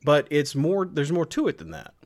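A man's voice: fairly high pitch, wildly swooping pitch, moderate pace, darkish tone, no echo, clean studio sound. A man co-hosting a podcast, casually debating a story in an emphatic, argumentative way. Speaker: podcast co-host.

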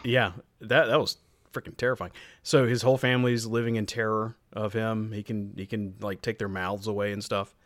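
A man's voice: on the low side, little pitch movement, moderate pace, fairly bright tone, no room sound, studio quality. A man co-hosting a podcast, casually debating a story in an emphatic, argumentative way. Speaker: podcast co-host.

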